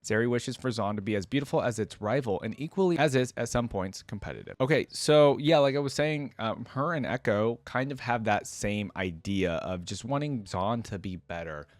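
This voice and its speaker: A man's voice: mid-range voice, lively intonation, moderate pace, few pauses, no room sound. A man hosting a reaction video, commenting casually and thinking aloud in an informal way as he goes.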